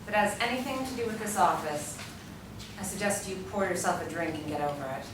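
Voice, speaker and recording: A woman's voice: lowish voice, quick pace, natural voice. An actress playing a cool, blunt, no-nonsense office woman in a dramatic script reading. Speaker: actor in a staged script reading. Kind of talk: dramatic screenplay table read. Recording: echoey, distant, noisy room recording.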